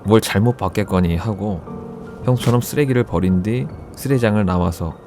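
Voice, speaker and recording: A man narrating a first-person horror story in a casual, conversational storytelling way, with deliberate phrasing. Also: lowish voice. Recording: background music under the voice. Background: music.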